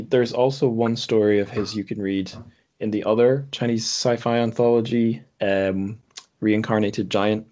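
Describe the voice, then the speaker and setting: Low-voiced man, hesitant podcast host. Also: a little mellow. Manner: hesitant, self-correcting, thinking aloud. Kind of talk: casual unscripted chat. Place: room in a home.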